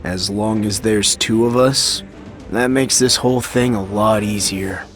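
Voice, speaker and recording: A cool-headed game fighter with a slightly deep voice. He talks bluntly and casually, with faint music underneath. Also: fairly even intonation, unhurried, a bit bright. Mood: cool, brisk. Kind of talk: video game voice acting.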